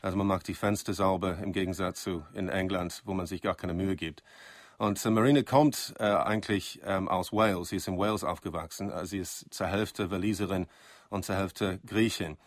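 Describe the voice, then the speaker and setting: Low-voiced male radio host, dry studio. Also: steady tone, fairly quick, no room sound. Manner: chatty, leading questions with trailing tags. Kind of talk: radio interview, translating and summarizing in German. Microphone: studio microphone.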